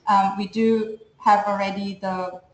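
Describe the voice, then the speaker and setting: A woman's voice: medium pitch, flat delivery, a little slow, a little mellow. Casual woman speaking in an echoey room. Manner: casual, conversational with hedging words. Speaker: partner program staff member. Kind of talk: webinar presentation.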